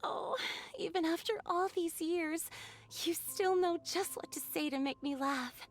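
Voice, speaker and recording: An actress playing a gentle, wistful water fairy in a video game, speaking softly and earnestly. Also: female voice, very high voice, measured pace. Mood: tender. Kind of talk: video game cutscene acting.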